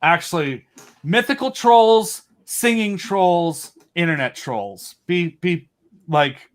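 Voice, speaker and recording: A man with a fairly high voice speaks as a guest on a podcast. His delivery is casual and enthusiastic, animated with jokes and dry asides. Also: takes it slowly. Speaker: podcast guest, audio drama creator.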